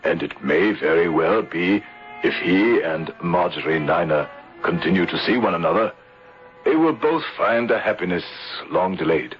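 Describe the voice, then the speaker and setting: Grave gentleman insurer-narrator: deep, measured, courteous, vintage radio drama, muffled audio. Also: animated, soft background music. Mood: reflective. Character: grave, kindly gentleman life insurer narrating his case. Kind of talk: old-time radio drama, storytelling narration. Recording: dull vintage radio recording.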